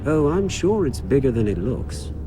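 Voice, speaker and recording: A man's voice: medium pitch, big pitch swings, normal speed, lightly acted. An actor playing a smug, falsely polite businessman in a video game, taunting his rival with mock sympathy. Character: smug gloating male usurper businessman, mocking, falsely polite. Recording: loud music behind the voice.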